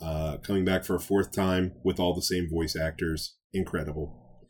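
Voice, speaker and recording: A man's voice: sits low, flat delivery, moderate pace, husky. A man co-hosting a podcast in casual chat, emphatic and given to repeating his points for effect. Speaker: co-host of a nerd news podcast.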